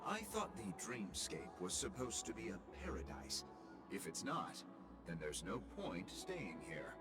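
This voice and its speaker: Indignant, animated male guest. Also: low voice, unhurried, full character voice. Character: indignant wealthy male guest, distrustful and demanding. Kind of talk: scripted anime game dialogue. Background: game music.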